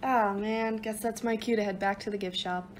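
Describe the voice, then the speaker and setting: A woman's voice: medium pitch, fairly even intonation, moderate pace, soft background music, close to an everyday voice. A woman voicing a casual, mischievous young elf slacker in a cartoon, slangy and teasing. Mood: playful. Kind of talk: animated web series voice acting. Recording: noticeable background noise.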